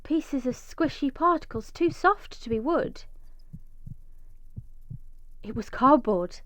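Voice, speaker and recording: An actress playing a desperate, vengeful mother trapped underground, narrating intensely in a breathy first-person radio drama monologue. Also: high-pitched, animated, slow, fairly bright tone, heavily acted. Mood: urgent. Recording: echoey, slightly dull recording.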